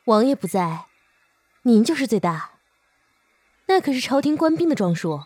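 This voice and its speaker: Lively, higher-voiced loyal attendant. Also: female voice, normal speed, no room sound. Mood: urgent, advising. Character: loyal, practical attendant to a princess. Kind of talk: Chinese costume audio drama acting.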